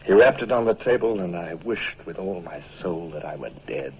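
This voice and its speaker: An actor playing a grave, eloquent British naval commodore in a formal, oratorical old-time radio drama style. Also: male voice, low voice, expressive, normal speed, highs cut off, background noise. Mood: wry, confiding.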